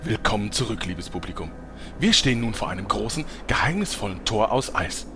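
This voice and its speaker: A man's voice: on the low side, expressive, fairly quick, music in the background. A man playing a greedy, cowardly showbiz agent who presents theatrically in a German parody audio play. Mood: grandiose.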